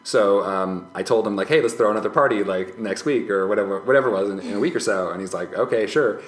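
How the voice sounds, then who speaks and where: Low-voiced man talking haltingly, echoey room. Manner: hesitant, halting, self-correcting phrasing. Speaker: podcast guest, musician. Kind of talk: casual personal conversation. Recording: roomy, echoing podcast recording.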